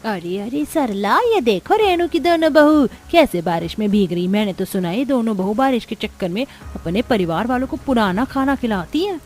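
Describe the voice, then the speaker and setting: Woman acting nagging middle-aged mother-in-law, high voice. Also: big pitch swings, normal speed, few pauses, darkish tone. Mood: complaining. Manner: nagging, complaining. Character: scolding middle-aged mother-in-law, exasperated gossipy housewife. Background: background music.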